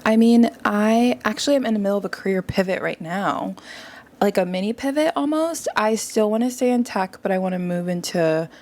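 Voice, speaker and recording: A young woman, a guest in a casual conversation, talking in an animated, chatty way and quick to agree. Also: mid-range voice. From New York area.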